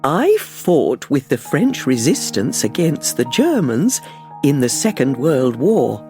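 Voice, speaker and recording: A man giving a careful, clearly paced first-person storybook narration for language learners. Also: medium pitch, very animated, slow pace, a little mellow, faint music behind.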